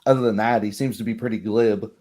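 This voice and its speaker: A man narrating a tabletop roleplaying game as game master, descriptive and halting. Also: fairly low pitch, steady tone, warm tone.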